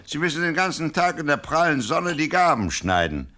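An actor playing a shepherd boy's gruff but fond father in an audio play, theatrical and affectionate even when scolding. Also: on the high side, normal speed, slight background noise. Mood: patient, firm.

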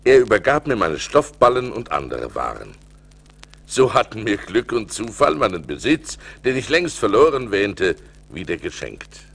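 A man's voice: mid-range voice, gravelly, a bit bright, breathy edge, exaggerated voice. An actor playing an adventurous seafarer recounting his voyages in a theatrical, precise German audio-play style, on a vintage vinyl record. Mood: reflective, suspenseful. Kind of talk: dramatic scripted storytelling, audio play.

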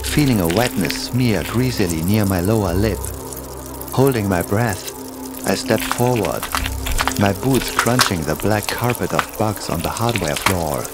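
Low-voiced man narrating horror over music. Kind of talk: scripted first-person horror story narration. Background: music behind the voice.

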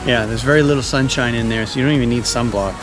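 A man's voice: mid-range voice, fairly even intonation, fast talker, music in the background, low-quality recording. A man in his forties narrating a casual travel vlog outdoors on a trail, explaining things easygoingly with fillers and restarts, recorded on a handheld camera. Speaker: travel vlogger. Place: outdoors by a forest trail and parking lot. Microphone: camera on a handheld gimbal.

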